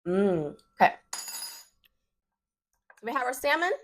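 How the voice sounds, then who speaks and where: A woman with a Swedish accent, a native Swedish speaker, giving a relaxed, chatty cooking demonstration in her home kitchen, with plenty of fillers. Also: on the high side, slow, slight background noise. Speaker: cooking show host.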